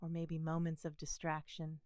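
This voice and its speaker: A woman gently guiding a body scan meditation in a calm, soothing manner, leaving pauses between phrases. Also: low-pitched, no room sound, studio quality. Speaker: meditation teacher.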